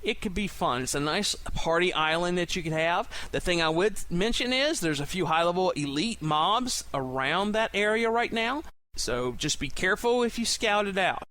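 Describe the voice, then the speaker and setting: Chatty, higher-pitched man hosting a podcast. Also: lively intonation, normal speed, sharp tone, a little hiss or hum. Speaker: podcast host. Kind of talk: casual enthusiastic podcast monologue.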